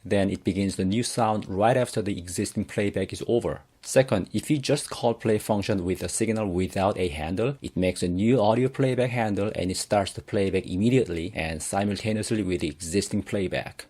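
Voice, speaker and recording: Low-voiced man narrating a software tutorial. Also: brisk, hardly any pauses. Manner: plain step-by-step explaining. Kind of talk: instructional screencast narration.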